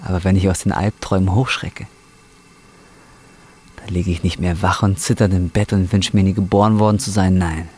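A man's voice: low voice, fairly even intonation, moderate pace, a little mellow, breathy edge. An actor playing a down-and-out man in his twenties, blunt, weary and brooding, in an audio drama. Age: 20s. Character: jaded, down-and-out young man, brooding and defiant. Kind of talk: audio drama acting with inner monologue. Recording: faint background noise.

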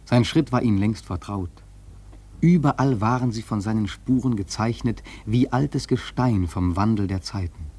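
A grave seafarer telling his tale in a literary radio play. His voice is fairly low and husky. The delivery is solemn, with dramatic emphasis. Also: moderate pace, warm tone. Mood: solemn, awed. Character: grave, awed sailor recounting his voyage. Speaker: narrator.

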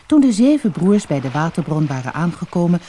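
Husky, mellow fairy-tale narrator with lively intonation. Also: mid-range voice, a little fast. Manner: expressive storytelling, acting out dialogue. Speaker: storyteller, narrator.

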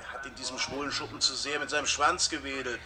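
An actor playing a crude, brash gangster with coarse, clipped talk in a radio drama. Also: male voice, mid-range voice, fairly even intonation, fast, a crowd in the background, lightly acted. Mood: scornful, blunt. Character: crude, brash male safe-cracking gangster. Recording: faint background ambience.

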